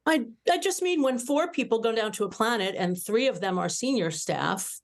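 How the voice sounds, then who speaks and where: A woman's voice: fairly high pitch, expressive, moderate pace. A woman reading the part of a teasing, irreverent young starship officer at a cartoon script table read.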